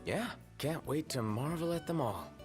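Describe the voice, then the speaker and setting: A man's voice: a little high, very animated, normal speed, soft background music. A man voicing a laid-back young prince in a video game, casual and dry with an American accent. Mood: dryly teasing. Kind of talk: video game cutscene voice acting.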